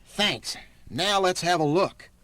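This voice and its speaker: A man's voice: high voice, animated, slow pace, bright, forceful tone, exaggerated voice. A man playing an earnest, take-charge young sleuth in a cartoon audio drama. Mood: urgent.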